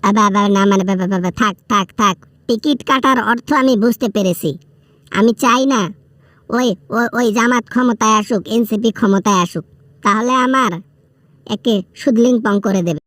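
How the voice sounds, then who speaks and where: A man's voice: high-pitched, moderate pace, a bit bright, no room sound, clean studio sound. A man with a Bangladeshi accent playing a whiny, frightened prisoner in a comic phone-call skit, pleading, stammering and repeating himself. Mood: scared, flustered. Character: whiny, frightened male prisoner begging for mercy.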